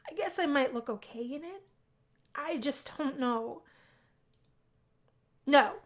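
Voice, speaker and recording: A woman's voice: high-pitched, takes it slowly. A woman voicing a shy, anxious young college woman in a visual novel, easily flustered. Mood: nervous resolve. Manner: flustered, stammering when upset.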